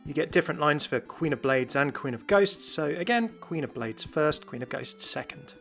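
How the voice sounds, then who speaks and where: Higher-pitched male explainer narrator. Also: lively intonation, moderate pace, creaky, a bit bright, soft background music. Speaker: video host, narrator.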